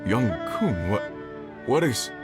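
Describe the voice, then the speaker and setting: A man's voice: mid-range voice, sing-song, takes it slowly, over loud music. A man voicing an earnest rescue leader in a video game, polite and slightly flustered. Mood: concerned.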